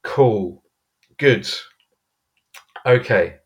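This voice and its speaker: Lower-voiced male interview host. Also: lively intonation, extremely slow, dull audio. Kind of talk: podcast interview.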